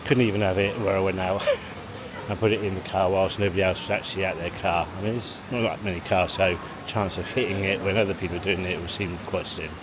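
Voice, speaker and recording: A man's voice: sits low, fairly even intonation, fast, hardly any pauses. A man chatting casually to camera in a family vlog, rambling and chuckling between remarks. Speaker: family vlogger. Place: outdoors in a car park, then at a leisure pool. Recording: handheld vlog with loud background noise.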